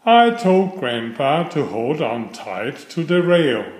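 A middle-aged man reading aloud to young children in a simple storytelling style, with clearly phrased delivery. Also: on the high side, big pitch swings, slow. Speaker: children's book reader. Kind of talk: read-aloud bedtime storytelling. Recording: roomy, echoey voice recording.

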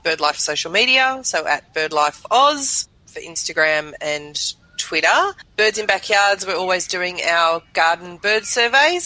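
A woman's voice: on the low side, bright, forceful tone, rough recording. A woman sharing information as a regular guest in casual chat. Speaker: regular guest, bird program manager.

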